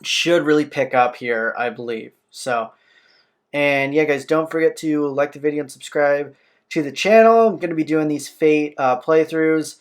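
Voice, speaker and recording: A man's voice: on the high side, normal speed. A man hosting a game playthrough, informally commenting and reading the story text aloud in a plain way. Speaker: playthrough host. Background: game soundtrack music.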